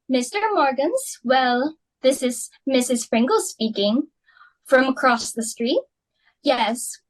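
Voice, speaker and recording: A young woman reading the part of an excitable, fashionable society matron hosting a dinner party, fussy and emphatic. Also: high-pitched, exaggerated voice, poor audio. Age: young adult. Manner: fussy, emphatic, quick to exclaim. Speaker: reader for Mrs. Pringle. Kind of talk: student dramatic reading.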